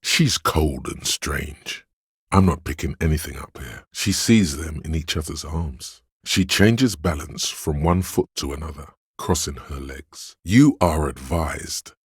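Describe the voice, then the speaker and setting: An actor voicing a seductive, hedonistic inner voice of addiction and lust in a video game, savoring words in a husky, insinuating way. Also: male voice, expressive, a little slow, dry recording, studio quality, exaggerated voice. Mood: lustful, savoring. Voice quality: deep, gravelly, husky. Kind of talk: video game character narration.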